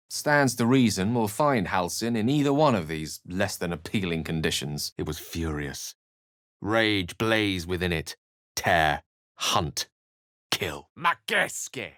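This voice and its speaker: A man's voice: low-pitched, a bit bright, dry recording, clean studio sound. A man voicing an eloquent, courtly wizard companion in a fantasy video game, speaking formally and theatrically. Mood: forceful incantation. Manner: articulate, formal, theatrical phrasing. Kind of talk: fantasy video game voice acting, dramatic narration and dialogue.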